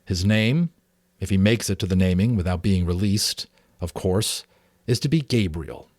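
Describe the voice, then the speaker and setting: A man voicing a thoughtful father in a storybook, confiding in a measured manner. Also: low voice, a little mellow, dry recording, studio quality.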